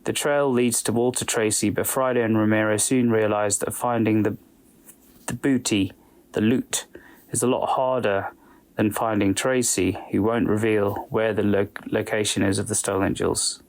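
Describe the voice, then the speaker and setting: A man hosting a podcast, giving a semi-scripted introduction in a measured, informal way. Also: lowish voice, steady tone. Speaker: podcast host.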